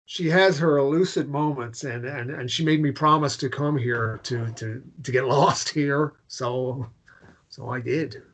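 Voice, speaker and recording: Man playing small-town American traveller: hesitant, stammering, apologetic, quietly melancholy drama role. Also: medium pitch, a little slow. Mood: wistful. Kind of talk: drama table read.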